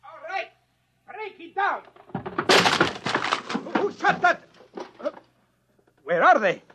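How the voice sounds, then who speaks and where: Give an excitable hotel manager from a 1950s radio play. The voice is very high and swoops about as he stammers. The old recording is dull and narrow. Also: slow, heavy background noise, heavily acted. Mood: agitated. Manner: flustered, stammering. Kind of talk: 1950s radio drama acting.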